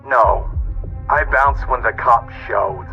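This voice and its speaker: A man's voice: mid-range voice, slow, soft background music. A man playing a deferential criminal henchman in an audio drama, heard through a phone filter. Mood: apologetic. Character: deferential male criminal henchman reporting bad news. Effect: phone call filter. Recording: dull, muffled phone call sound.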